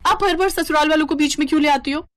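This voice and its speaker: A woman's voice: sits high, fast talker, fairly bright tone, no room sound, voice pushed up. A woman voicing a young, overworked daughter-in-law who mutters with exasperation and self-pity in a dramatic story style. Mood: defiant.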